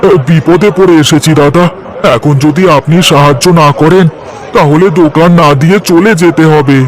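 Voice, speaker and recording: A man's voice: fairly high pitch, fairly even intonation, moderate pace, a faint crowd, natural voice. A man voicing a worried, humble poor village vegetable trader in a cartoon story, speaking in a pleading, deferential way. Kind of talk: Bengali cartoon story dialogue.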